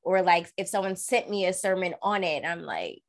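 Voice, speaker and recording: A young adult woman co-hosting a casual conversation, speaking candidly and acting out quoted remarks with animated swings. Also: lowish voice, moderate pace. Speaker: podcast co-host.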